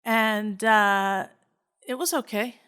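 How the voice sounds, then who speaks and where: A middle-aged woman hosts a podcast on her own, talking at an unhurried pace. Her voice is bright and clean, and she rambles casually with plenty of fillers. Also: medium pitch, clear, resonant tone. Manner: chatty, rambling, many fillers. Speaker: podcast host. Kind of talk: casual solo podcast chat.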